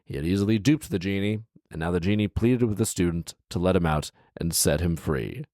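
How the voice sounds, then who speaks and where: A man's voice: sits low, a little fast, warm tone, no echo, studio quality. A man hosting a storytelling show, reading aloud and breaking into informal, sarcastic commentary. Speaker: storytelling podcast host.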